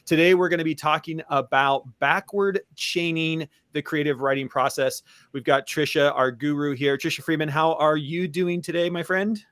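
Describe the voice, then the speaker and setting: A man's voice: a little high, steady tone, moderate pace, few pauses, fairly bright tone, clear, resonant tone, dry recording. A man co-hosting an educational webinar in a casual presenting style, talking directly to listeners. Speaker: podcast and webinar co-host.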